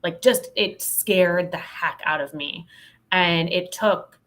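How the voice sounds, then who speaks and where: A woman with a slightly low voice talks as an interview guest. She tells her story conversationally and frankly. Her phrasing is reflective, with "like" dropped in often. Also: slow pace, fairly bright tone. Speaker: podcast guest, sales coach.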